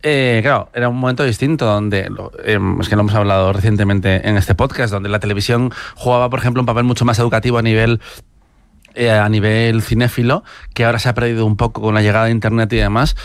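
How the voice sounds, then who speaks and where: A man's voice: fairly low pitch, steady tone, fast talker, dry recording. Hesitant Cantabrian man. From Cantabria, Spain. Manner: hesitant, many fillers. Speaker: podcast co-host. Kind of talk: casual discussion.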